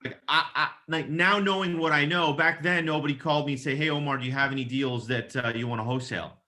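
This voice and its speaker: A man's voice: a little high, steady tone, fast, pushed and bright. A man working as a real estate agent, giving casual, emphatic advice in a conversational coaching style and acting out example phone calls. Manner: emphatic, casual, mildly profane, checks in with "okay?".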